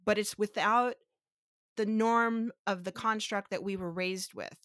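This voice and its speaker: A woman with a mid-range voice hosts a relaxed podcast chat, talking through her ideas step by step and often circling back with rhetorical questions. Her first language is Spanish. The sound is dry and close, with no room around it. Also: medium pitch, measured pace, no room sound. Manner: thinks aloud, with many restarts and rhetorical questions; explains step by step to her co-host. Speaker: podcast host.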